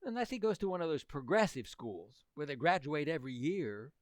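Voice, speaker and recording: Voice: medium pitch, very animated, fairly quick, dry recording, clean studio sound, natural voice. An actor playing an earnest, lovesick, hapless teenage boy in exclamatory, old-time radio comedy style. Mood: awkward, earnest. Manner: exclamatory, dim-witted, earnest boyish slang.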